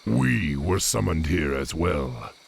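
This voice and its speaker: A man voicing a stern, noble orc war chief in a game cinematic, husky and gravelly, with formal delivery. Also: a bass voice, sing-song, slow, slight background noise, exaggerated voice. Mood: solemn. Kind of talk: video game cinematic acting.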